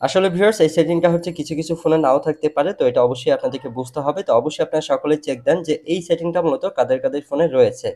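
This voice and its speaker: A man's voice: fairly high pitch, very fast, few pauses, warm tone. A man narrating a step-by-step phone tutorial, instructing and often addressing his viewers directly. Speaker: tech tutorial presenter.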